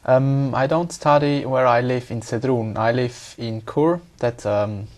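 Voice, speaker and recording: Young man, exam candidate, hesitant, repeats words, mid-pitched voice. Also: measured pace, a little mellow. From Switzerland. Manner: hesitant, repeats words while searching for them. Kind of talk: speaking exam answers.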